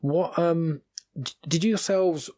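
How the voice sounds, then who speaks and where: A man's voice: on the high side, measured pace, dark tone, no echo. A man hosting a casual conversational interview, talking in a rambling way through half-finished questions. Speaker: podcast host interviewing guests.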